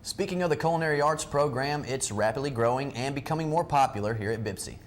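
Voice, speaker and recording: A young man co-anchoring a college news show, reading announcements in an even, conversational way. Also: mid-range voice, fast, a little hiss or hum. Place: college TV studio.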